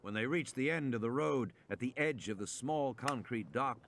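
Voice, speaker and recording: Male narrator, mid-pitched, animated intonation, measured dramatic novel reading, background noise with clicks. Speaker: audiobook narrator. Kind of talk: scripted narration of a suspense novel.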